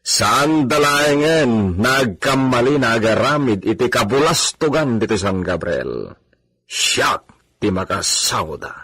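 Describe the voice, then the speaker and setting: A man plays a proud landowning senyor in a radio drama. His mid-pitched voice is commanding and deliberate, rising and falling expressively. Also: animated, fairly bright tone, slightly rough, heavily acted. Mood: stern, commanding. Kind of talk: Ilocano radio drama acting.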